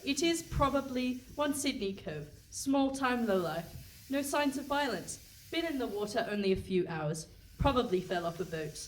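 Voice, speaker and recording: A woman's voice: fairly high pitch, lively intonation, normal speed, natural voice. A woman playing a cool, confident young private investigator with a dry manner, in a comic radio play on stage. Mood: matter-of-fact. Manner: dry, matter-of-fact. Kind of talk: comic radio play before a live audience. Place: hall stage with a live audience. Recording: distant stage pickup with some noise.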